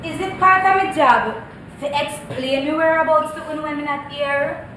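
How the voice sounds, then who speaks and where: A woman's voice: sits high, moderate pace, pushed and bright. A young woman with a Jamaican accent playing a sassy housemaid in a comedic stage play. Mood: sassy, guarded. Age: young adult. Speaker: stage actress. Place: theatre stage with audience. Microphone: distant stage microphones. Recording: echoey noisy theatre, distant mics, audience.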